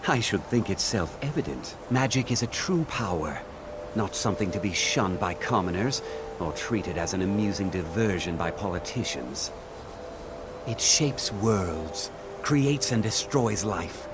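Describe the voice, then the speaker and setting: An actor playing a stern, dignified archmage in a fantasy video game, speaking in a formal, measured and authoritative way. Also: male voice, low voice, animated, music in the background. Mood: solemn, lofty. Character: stern, dignified male archmage of a magic college. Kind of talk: video game character dialogue.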